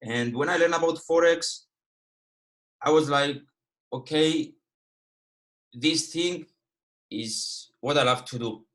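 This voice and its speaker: A man's voice: a little high, slow pace, long pauses, fairly bright tone. A man in his twenties with a Greek Cypriot accent, a native Greek speaker, teaching a live online trading lesson in a casual, direct way and checking in with "okay" and "all right". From Cyprus. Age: 20s. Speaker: guest trading educator.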